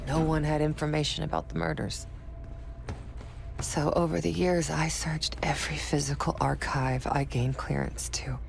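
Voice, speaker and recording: A woman's voice: low voice, level tone, normal speed, gravelly, over music. An actress playing a guarded, bitter woman spy in a video game, speaking with confessional intensity. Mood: haunted, reflective. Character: guarded woman spy under interrogation, bitter, defiant, haunted. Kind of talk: dramatic video game acting. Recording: heavy background noise and ambience.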